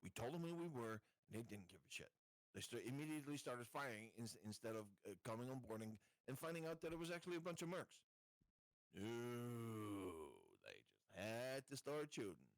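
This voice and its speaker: A man argues his case in casual game-table talk, blunt and insistent. His voice sits at a middling pitch, and the sound is dry with hardly any room in it. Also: lively intonation, normal speed, no room sound, rough recording.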